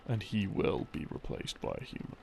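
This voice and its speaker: A man telling a rambling story as a sleep aid, in a halting, musing way with pauses, in the role of a boyfriend explaining things. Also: darkish tone, slight background noise. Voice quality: creaky, gravelly. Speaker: audio roleplay creator playing a boyfriend. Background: background music.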